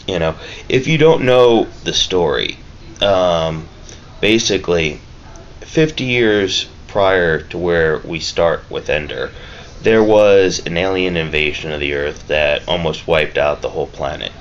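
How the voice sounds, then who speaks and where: Male vlogger, notably deep voice, rambling and easygoing, recorded on a dull lo-fi mic. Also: fairly bright tone. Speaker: vlogger reviewing a film. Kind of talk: casual, rambling vlog review. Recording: dull lo-fi mic with clear background noise.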